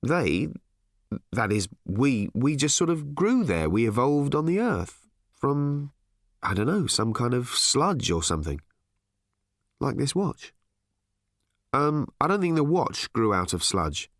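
A man's voice: mid-range voice, sing-song, takes it slowly, pauses often, dry recording, clean studio sound, pitched above the performer's own voice. Man as a hesitant, bewildered, well-meaning dad. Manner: hesitant, stammering, mild. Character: bewildered, well-meaning, awkward father of a teenage girl.

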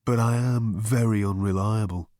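A man voicing a gentle, vague philosophical hermit in a storybook, speaking politely in a mild, detached, musing way. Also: on the low side, fairly even intonation, normal speed, dry recording, clean studio sound, close to an everyday voice.

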